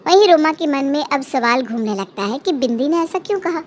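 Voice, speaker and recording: A woman storyteller with a very high, childlike pitch reads a scripted children's tale. Faint noise runs behind her narration. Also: animated, a little fast.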